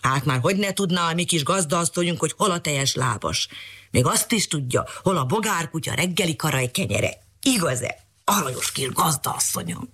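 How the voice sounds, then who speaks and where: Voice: mid-range voice, lively intonation, bright, forceful tone, husky, full character voice. A storyteller voicing a grumbling, scolding old male dog.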